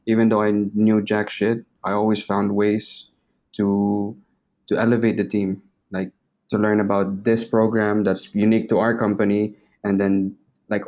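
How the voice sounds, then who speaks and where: Low-pitched young man, casual and slangy. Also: darkish tone. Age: 20s. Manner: informal, slangy, swearing. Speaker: interview guest. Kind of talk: casual interview chat.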